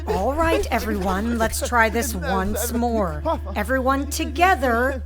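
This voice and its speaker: Woman as radio-drama professor, high voice, slow drawn-out delivery, bossy, flustered, loud music. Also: animated. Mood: exasperated.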